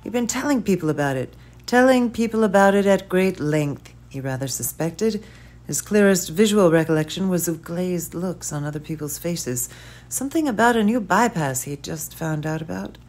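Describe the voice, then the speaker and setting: Soft-spoken woman reading a story. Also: on the low side, animated, normal speed. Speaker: audiobook reader. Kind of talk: scripted narration.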